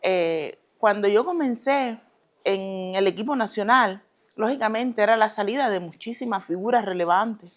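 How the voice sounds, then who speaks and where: A Cuban woman in her thirties, a former judoka, answering interview questions in a deliberate way with many hesitations, turning quick and emphatic when she speaks with pride. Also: medium pitch. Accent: Cuban Spanish. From Cuba. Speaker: guest, retired Olympic judoka. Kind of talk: relaxed conversational interview answers.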